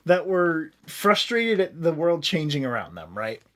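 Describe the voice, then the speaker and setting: A man's voice: a little high, very animated, measured pace, fairly bright tone. A man hosting a podcast in casual comedic chat, swearing freely with an irreverent, joking manner. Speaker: podcast host.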